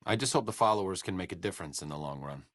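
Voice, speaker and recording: A man's voice: low voice, expressive, rapid-fire, a bit bright, dry recording. An actor playing a wry, idealistic male doctor in a video game, dry and sarcastic by turns, then earnest.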